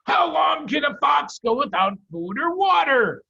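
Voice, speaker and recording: A man voicing a smug, hostile farmer, loud and emphatic, in a children's storybook reading on lo-fi audio. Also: very high-pitched, very animated, measured pace, vocal fry, voice pushed up, heavily acted. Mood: impatient, smug. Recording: dull, lo-fi video-call audio.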